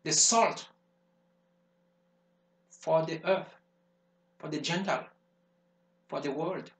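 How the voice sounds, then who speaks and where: A fervent preacher, hammering his points in repeated phrases. His voice sits high for a man. The room rings with echo around him. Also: slow, creaky. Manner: emphatic, repetitive preaching cadence. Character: fervent male preacher warning of the devil. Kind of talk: sermon. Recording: roomy echo.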